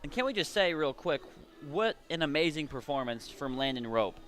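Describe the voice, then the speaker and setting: A man's voice: a little high, big pitch swings, moderate pace, fairly bright tone. A man giving conversational, explanatory color commentary on a live radio baseball broadcast. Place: at a baseball game. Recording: clear ambient noise behind voice.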